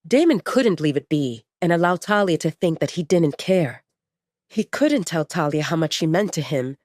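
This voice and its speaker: A woman's voice: lowish voice, a little mellow, no echo, studio quality. A woman reading scripted dramatic fiction as the narrator of an audio story.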